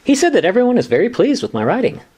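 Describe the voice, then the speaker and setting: A man's voice: high-pitched, wildly swooping pitch, rapid-fire, darkish tone, husky, exaggerated voice. An actor playing an anxious, eager young male junior lawyer, questioning and unsure, in a comedic radio play. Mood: relieved.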